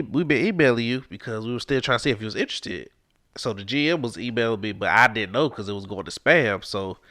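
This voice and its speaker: A man's voice: medium pitch, pushed and bright, no room sound, studio quality. A man, hosting a casual podcast with a stuffed-up, congested nose, earnest and encouraging and sliding into preacher-like exhortation. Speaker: podcast host.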